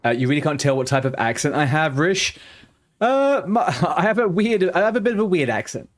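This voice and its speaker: A man in his thirties with a softened London accent, chatting casually with viewers while streaming a game. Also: fairly high pitch, big pitch swings, a little fast. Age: 30s. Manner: chatty and rambling, answers viewers' chat aloud. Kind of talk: casual live-stream chat.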